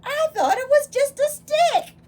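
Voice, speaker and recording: A woman reading a picture book aloud to young children, expressive and full of wonder, pausing to ask them questions. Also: very high voice, slight background noise. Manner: animated, exclaiming with gasps and wonder, addressing young children. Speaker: volunteer children's read-aloud storyteller.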